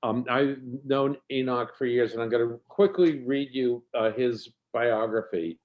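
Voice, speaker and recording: A man's voice: medium pitch, a little slow. A man introducing a guest speaker on a video call, reading a biography in a friendly, hesitant way. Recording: dull lo-fi video call audio.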